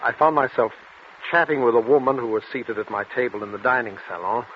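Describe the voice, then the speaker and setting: A man acting an anguished patient who feels cursed, in vintage radio drama. His delivery is halting and dramatic at a middling pitch, with dull sound and faint hiss of an old recording. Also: lightly acted. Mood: haunted, recounting. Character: tormented male patient cursed to blurt out hidden truths. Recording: muffled, noisy 1940s radio recording.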